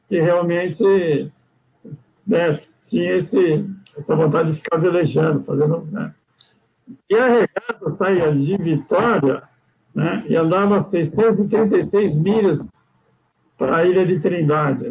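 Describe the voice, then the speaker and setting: A man's voice: sits high, unhurried, creaky, a little mellow, low-quality recording. An older man from Brazil telling sailing stories in a rambling, casual way, tagging sentences with "né?" and restarting often. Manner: rambling, frequent "né?" tags and restarts. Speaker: guest sailor. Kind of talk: casual storytelling chat.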